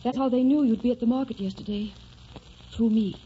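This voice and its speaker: A woman's voice: fairly high pitch, fairly quick, a little hiss or hum, close to an everyday voice. An actress playing a tender, sorrowfully resigned young woman in love, in earnest, restrained old-time radio melodrama. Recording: dull vintage radio recording with music.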